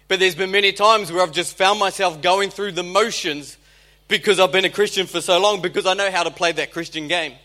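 A man's voice: sits high, sharp tone. A man with a New Zealand accent, a pastor giving a conversational sermon with jokes and emphatic repetition.

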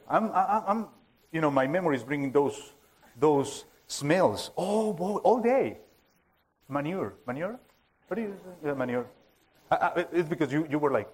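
Halting preacher with a Spanish accent. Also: male voice, sits high. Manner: halting and self-joking, many fillers and restarts. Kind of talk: informal sermon to a congregation. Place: church hall with a full audience.

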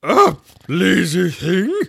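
Tyrannical Queen, played by a man, slow, loud and raspy, with wild pitch swings. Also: huge pitch swings, slight background noise, exaggerated voice. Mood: imperious. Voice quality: raspy strained falsetto. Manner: loud, slow, barking commands. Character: furious tyrannical Queen, bossy. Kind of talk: audiobook character voice.

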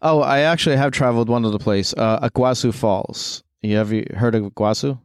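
A man's voice: medium pitch, a little fast, clear, resonant tone, no room sound, studio quality. A man talking enthusiastically in a casual podcast chat, with frequent fillers.

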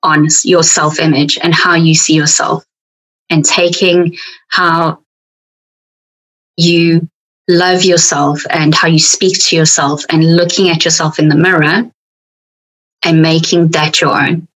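A woman's voice: low-pitched, flat delivery, unhurried, pauses often, a bit bright, low-quality recording. South African woman coach, frank and reflective. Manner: frank, reflective, speaks to herself in quoted thoughts. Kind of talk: podcast conversation.

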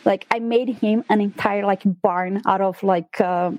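A woman's voice: mid-range voice, fairly even intonation, unhurried, no echo, highs cut off. A young woman from Brazil with a Brazilian Portuguese accent, chatting casually as a guest author with lots of fillers and laughs. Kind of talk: casual interview chat.

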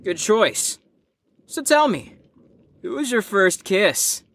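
A woman's voice: mid-range voice, big pitch swings, slow, slight background noise. A woman playing a brash, rebellious young slacker in an audio drama, teasing and sarcastic in slangy speech.

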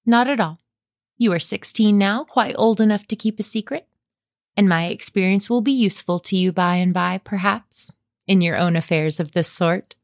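Woman voicing a shy demure young woman, soft prim earnest voice, audiobook reading. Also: mid-range voice, moderate pace, no echo, clean studio sound, natural voice.

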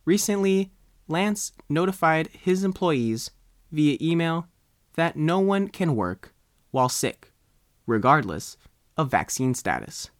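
A man with an American accent narrates a written news story as its reporter. His voice sits a little high and moves with lively intonation, recorded close and dry. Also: takes it slowly, no echo. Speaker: reporter reading own article.

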